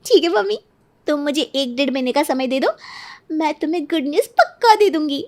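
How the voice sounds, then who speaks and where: Woman voicing cunning young newlywed, high voice, self-centred, pert, Hindi cartoon story. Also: animated, fairly quick, darkish tone. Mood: breezy, pleased.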